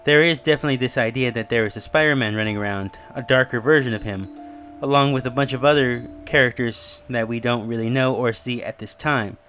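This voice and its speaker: A man's voice: medium pitch, brisk, a bit bright. A man giving casual, speculative video commentary in a musing, slightly rambling way as host. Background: music under the voice.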